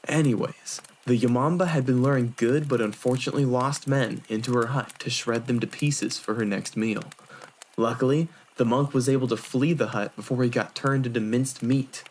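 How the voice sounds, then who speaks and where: A man's voice: mid-range voice, steady tone, moderate pace, darkish tone, slight background noise, natural voice. Man playing a smug, theatrical young monk. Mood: spooky, dramatic. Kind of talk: fan audio drama acting, spooky campfire storytelling.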